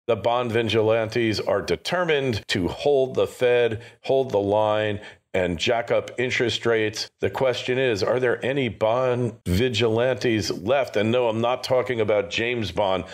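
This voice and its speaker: A man's voice: lowish voice, a little slow. A man hosting an interview show, reading a scripted intro with punchy rhetorical questions. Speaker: podcast host.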